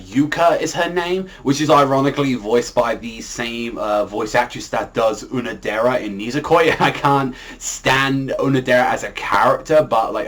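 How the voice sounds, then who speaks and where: A man's voice: mid-range voice, fairly bright tone. A man giving a casual, unscripted anime review, talking in a rambling, repetitive way with a habit of filler words.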